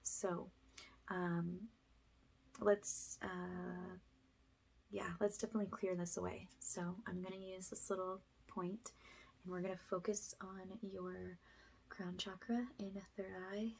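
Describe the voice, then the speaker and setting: A woman speaking in a hushed, often whispered way, guiding a spiritual ASMR energy cleansing as a healer. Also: fairly low pitch, little pitch movement, takes it slowly, gravelly, slight background noise.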